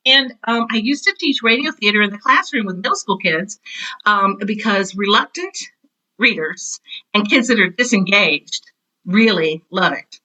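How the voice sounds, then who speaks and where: A middle-aged American woman with a very bright, forward voice. She talks as a podcast guest in a casual, chatty way, full of enthusiasm and small self-deprecating asides. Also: mid-range voice, lively intonation, moderate pace, poor audio. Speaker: podcast guest, teacher and author. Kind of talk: casual interview chat.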